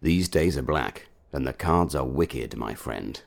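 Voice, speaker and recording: An actor playing a grave, portentous male detective in a radio mystery, with a gravelly voice. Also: very deep voice, animated, unhurried, background noise, heavily acted. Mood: grave resolve. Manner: melodramatic, portentous.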